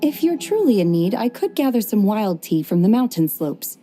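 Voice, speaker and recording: A woman voicing a calm, polite, aloof young woman warrior who speaks in a measured way in a game cutscene. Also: mid-range voice, soft background music, close to an everyday voice.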